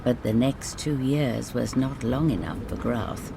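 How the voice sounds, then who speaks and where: Bedtime fairy tale narrator: measured, dramatic, lively intonation, mid-low register, clear rain noise behind. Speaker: storyteller. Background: rain ambience.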